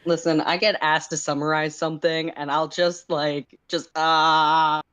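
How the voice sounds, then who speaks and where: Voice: mid-range voice, flat delivery, moderate pace, fairly bright tone, no room sound. A casual, self-deprecating co-host who chats and reads fan fiction aloud on a livestream. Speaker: livestream co-host and story reader.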